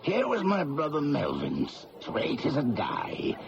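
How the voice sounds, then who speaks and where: Wry old-radio rogue who likes his drink, slow gravelly talk, dull broadcast sound w music. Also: medium pitch, huge pitch swings, background noise, heavily acted. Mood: wry, resigned. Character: roguish hard-drinking man caught posing as his brother. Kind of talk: old-time radio drama acting.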